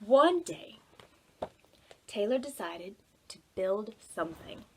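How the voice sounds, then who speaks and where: A woman's voice: medium pitch, very animated, slow pace, mellow, slight background noise, poor audio. A woman leading storytime as a children's librarian, expressively singing and reading to young children in simple language. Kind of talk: singsong children's songs and picture-book read-aloud.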